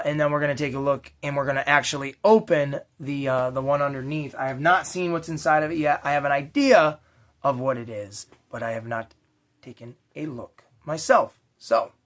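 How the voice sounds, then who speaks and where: A man with a slightly raspy voice hosting a casual, unscripted unboxing vlog for toy collectors, rambling along with slangy, enthusiastic asides. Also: fairly high pitch, a bit bright. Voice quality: a little raspy. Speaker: toy collecting channel host.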